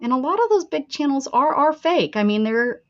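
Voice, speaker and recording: A woman's voice: sits high, lively intonation, moderate pace, dry recording. A chatty woman with a mixed northern and Southern accent rambles through a casual livestream and laughs often. Her voice swings up and down a lot. It sounds dry and a bit dull. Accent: mixed Pittsburgh and Texas. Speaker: craft channel host.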